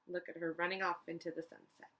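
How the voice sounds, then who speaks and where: A woman's voice: fairly low pitch, level tone, fast talker, fairly bright tone, a little hiss or hum. A woman storyteller reading a children's picture book aloud expressively, with little asides to the listeners.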